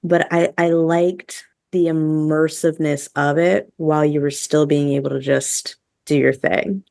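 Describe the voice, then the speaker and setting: A woman with a low, noticeably creaky voice chats as a podcast host. She is enthusiastic and informal, and her talk is full of "like". The sound is dry and close. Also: steady tone, measured pace, darkish tone, no room sound. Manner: chatty, enthusiastic, heavy use of "like". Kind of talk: casual podcast review chat.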